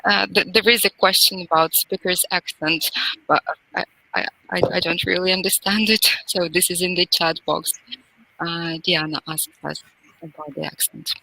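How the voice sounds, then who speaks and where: A woman moderating an online webinar over a video call, polite and formal, often pausing with fillers. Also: lowish voice, fairly even intonation, vocal fry, sharp tone, poor audio.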